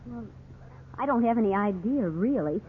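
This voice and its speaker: Actress playing a gushing young 1950s wife, tinny radio sound. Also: female voice, on the high side, lively intonation, normal speed, slight background noise, natural voice. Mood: mild, curious. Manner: gushing, wide-eyed enthusiasm. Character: eager, delighted young wife and mother house-hunting. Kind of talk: 1950s radio drama acting.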